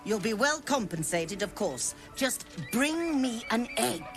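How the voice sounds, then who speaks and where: An actress voicing a haughty, scheming merchant woman who talks theatrically and flatters to get her way. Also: fairly high pitch, measured pace, over music. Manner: theatrical, wheedling, affected.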